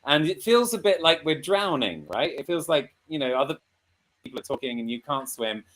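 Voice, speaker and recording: A man's voice: fairly high pitch, big pitch swings, brisk, fairly bright tone. A man hosting a casual online lesson, chatty, with frequent laughs and fillers. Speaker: host and English teacher.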